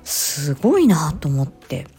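A woman speech therapist telling stories in a casual, expressive solo chat, acting out short quotes as she explains. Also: low-pitched, slow, slightly rough, soft background music. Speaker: speech-language therapist hosting an audio diary.

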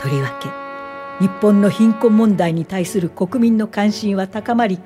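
An actress playing a principled medical social worker in a radio drama, speaking in a measured, formal and steady way. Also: female voice, mid-range voice, over music, close to an everyday voice. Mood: reflective. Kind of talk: radio drama narration and acting.

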